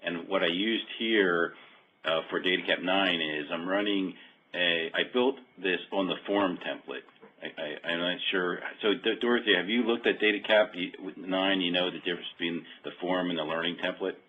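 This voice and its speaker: A very low-voiced man gives an informal software demo over a thin, phone-like conference line. He explains step by step in a halting way, often correcting himself. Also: fairly even intonation, slight background noise. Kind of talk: informal technical walkthrough on a web meeting. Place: remote web conference call.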